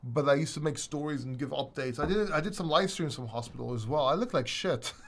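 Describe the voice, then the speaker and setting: A man's voice: fairly high pitch, a little fast, creaky. A middle-aged man from the UK with a mixed British and American accent, rambling and swearing casually as he hosts a livestream and answers viewers. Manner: rambling, swearing, lots of restarts. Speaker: crypto livestream host. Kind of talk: casual livestream chat reading viewer comments.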